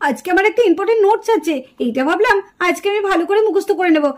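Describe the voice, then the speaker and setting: A woman's voice: extremely high pitch, expressive, rapid-fire. A woman voicing an irritable, scolding young female student doll in a puppet drama. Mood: complaining. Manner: scolding, complaining.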